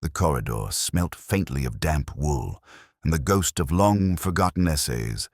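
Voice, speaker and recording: Very deep-voiced man narrating a brooding mystery. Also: animated, a little mellow, no echo, clean studio sound. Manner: measured, literary, brooding. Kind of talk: scripted first-person detective story narration.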